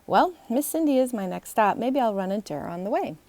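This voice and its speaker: A woman's voice: fairly high pitch, lively intonation, brisk, a little mellow, dry recording. A woman voicing a confident, bossy young boy detective in a children's storybook. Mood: thoughtful.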